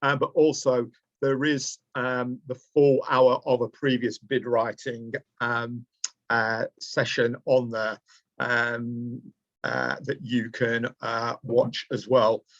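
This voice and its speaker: A middle-aged man with a Lancashire accent hosts an online training webinar, pausing often with ums and uhs as he explains things in a plain, mid-pitched voice. The sound is dry and dull, like lo-fi video call audio from a webcam mic. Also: fairly even intonation, fairly bright tone, no echo. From Preston, Lancashire, England. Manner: hesitant, with frequent ums and uhs. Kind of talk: live online training presentation. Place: indoors, small room.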